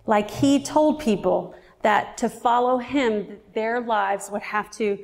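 A woman's voice: fairly high pitch, vocal fry, a little mellow. Reflective woman preaching in a reverberant hall. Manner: earnest, reflective, slows down for emphasis. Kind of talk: sermon. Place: church.

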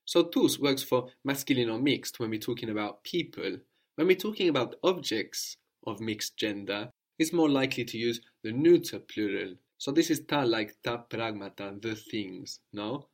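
Male language tutor, patient and explanatory, mid-pitched voice. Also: animated, normal speed. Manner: patient, explanatory, prompting with questions. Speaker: language teacher. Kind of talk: audio language lesson.

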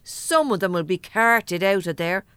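A woman's voice: medium pitch, animated, unhurried, a bit bright, clean tone, no echo. An actress playing a cheeky, blunt working-class cleaning woman with an Irish accent, chatting in an audio drama. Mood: enthusiastic.

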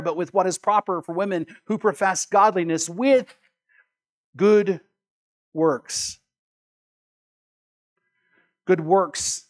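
A high-voiced man preaches as a pastor would from scripture. His delivery is emphatic, dropping quieter for asides and rising on stressed words. He repeats key phrases to drive them home. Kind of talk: sermon with scripture readings.

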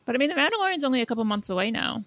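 Sleepy, low-key woman podcaster. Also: very animated, racing, a bit bright, dry recording. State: a little sleepy and under the weather. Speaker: podcast co-host. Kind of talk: casual podcast chat.

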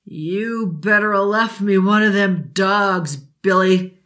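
A woman's voice: medium pitch, slow, fairly bright tone. A woman voicing a drunk, abusive father who slurs and sneers. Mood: grumbling. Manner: slurred and sneering.

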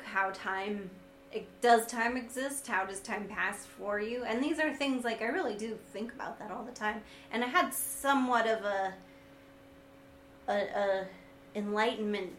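A woman with a high, sweet, gentle-sounding voice, talking casually and reflectively as a co-host, with a wry, self-deprecating manner. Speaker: vlogger and co-host discussing their video series. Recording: echoey room, light background noise.

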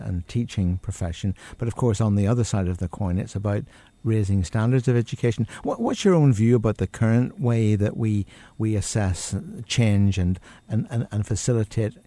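Low, mellow male radio host. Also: normal speed, no echo. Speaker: radio presenter. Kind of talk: radio interview.

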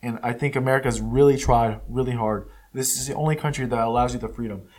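A man hosting his own podcast and talking at his usual middle pitch, a native Spanish speaker who now speaks English. His delivery is blunt and emphatic. He often stops and restarts his sentences. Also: steady tone, quick pace, a little mellow. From United States. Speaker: podcast host. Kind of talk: casual opinion monologue. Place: California.

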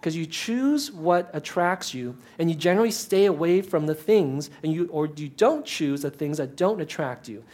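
A man's voice: a little high, expressive, moderate pace, hardly any pauses, darkish tone. Chatty, self-deprecating male minister. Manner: chatty and self-deprecating, with frequent restarts and asides. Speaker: minister speaking to an audience. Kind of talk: conversational talk with humor.

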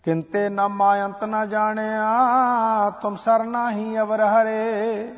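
A man singing devotional scripture in a slow, drawn-out sung recitation. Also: sits high, level tone, soft background music. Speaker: hymn singer. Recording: dull lo-fi recording with long reverb.

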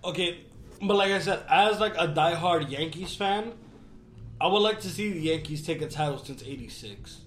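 Male podcast co-host, enthusiastic sports fan, profane and casual, voice a bit high, roomy sound. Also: moderate pace, a bit bright, slight background noise. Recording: roomy, echoey room.

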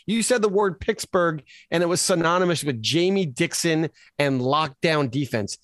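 An American man chatting casually as co-host of a sports podcast. He tells stories with energy and acts out the people in them. His voice sits a little high and sounds dry and close. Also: normal speed, no room sound. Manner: animated storyteller, acts out quotes.

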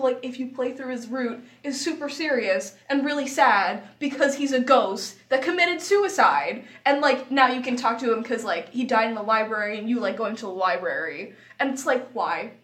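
A woman hosting a podcast in casual, joking chat, with an animated, giggly, mock-incredulous manner. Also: a little high, normal speed, hardly any pauses. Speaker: podcast host. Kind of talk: casual, joking chat about visual novels.

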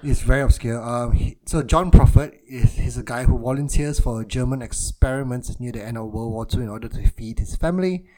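Relaxed man from Malaysia, film chat. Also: medium pitch, fairly quick, few pauses, slight background noise, rough recording. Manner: relaxed, many ums and quiet trailing endings, laughs easily. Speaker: podcast co-host. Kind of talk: casual film podcast chat.

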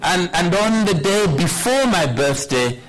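A man in his sixties preaching a sermon, telling a personal story in a measured way with wry humor. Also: high-pitched, big pitch swings, a bit bright, some chatter behind, rough recording. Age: 60s. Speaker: pastor.

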